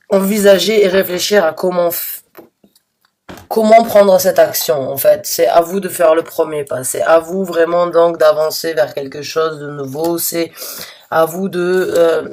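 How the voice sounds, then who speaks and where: A slightly hoarse woman giving a spontaneous tarot reading to her viewers, halting and restarting often, with earnest, reassuring advice. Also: low voice, a little mellow, slight background noise. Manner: hesitant, halting with many restarts and fillers. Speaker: tarot reader.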